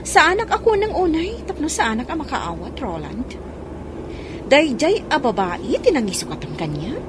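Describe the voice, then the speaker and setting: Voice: high voice, very animated, normal speed, noisy background. A woman playing a jealous, reproachful, sarcastic wife and mother in an audio drama. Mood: bitter, jealous.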